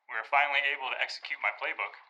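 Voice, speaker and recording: An actor playing an evasive high school basketball coach, blunt and colloquial, in an audio drama. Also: male voice, very fast, bright, forceful tone, poor audio. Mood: guarded, defensive. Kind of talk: scripted audio drama interview.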